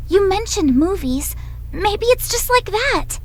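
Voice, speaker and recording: A woman's voice: very high voice, animated, slow pace, exaggerated voice. A woman voicing an eager, earnest, slightly hesitant young girl in a visual novel game.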